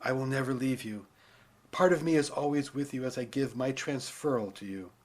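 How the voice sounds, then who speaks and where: A man voicing a dying eagle who is a tender father, speaking in a faint, soft whisper. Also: mid-range voice, normal speed, close to an everyday voice.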